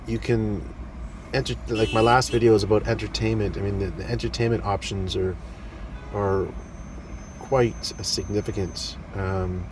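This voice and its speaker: A man with a stuffy head cold talks casually to his viewers in a low voice. He rambles, filling the gaps with ums and uhs. Faint traffic noise hums behind him. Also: darkish tone, poor audio. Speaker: vlogger. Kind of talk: casual talk to camera.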